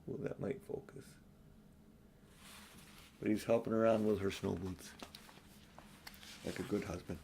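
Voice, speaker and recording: A man's voice: fairly low pitch, fairly even intonation, measured pace, gravelly, pauses often, dark tone, a little hiss or hum. An older man, a grandfather, reading a children's story aloud in an informal, homely style.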